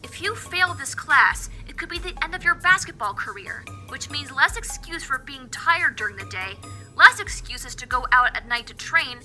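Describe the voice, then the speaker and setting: A businesslike young female hacker, played by a woman guides the hero in an audio drama. The voice is high and matter-of-fact, with a nagging edge, over clear music. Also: animated, normal speed, sharp tone, full character voice. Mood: stern, concerned.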